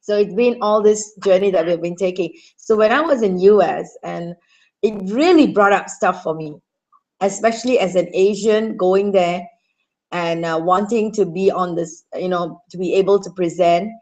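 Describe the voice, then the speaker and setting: A woman from Singapore hosting an online call, soft-spoken and reflective. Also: medium pitch, normal speed, rough recording. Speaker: host. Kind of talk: online video call chat. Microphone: computer mic on a video call.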